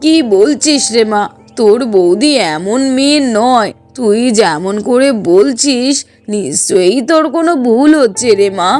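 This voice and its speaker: A woman plays a kindly older mother in a cartoon, lower than a girlish voice. She reasons patiently and soothingly, with music behind her. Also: lively intonation, unhurried, a little mellow. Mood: gently doubting. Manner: soothing, reasoning, mildly exasperated. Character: kindly older mother, patient, gently doubting, protective of daughter-in-law. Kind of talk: Bengali cartoon dialogue.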